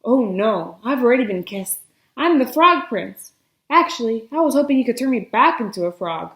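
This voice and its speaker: A woman's voice: fairly high pitch, animated, normal speed, fairly bright tone, roomy sound. A woman reading a storybook aloud as a whiny, anxious young prince, pleading and flustered. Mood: insistent.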